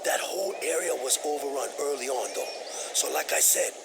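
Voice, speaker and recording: An actor playing a wary, hot-tempered village survivor with an emphatic manner in a video game cutscene. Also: male voice, mid-range voice, a little fast, vocal fry, background noise, close to an everyday voice. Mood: resigned.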